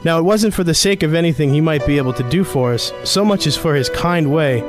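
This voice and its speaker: A man's voice: on the high side, brisk, music in the background, lightly acted. An actor playing a kindly, humble, grieving father in an audio drama. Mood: tender grief.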